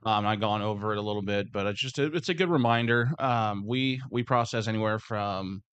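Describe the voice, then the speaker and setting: A man with a slightly hoarse voice, speaking as a security supervisor in casual workplace talk, rambling and restarting his words. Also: lowish voice, fairly quick, a bit bright, no echo, dull audio. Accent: Midwestern American.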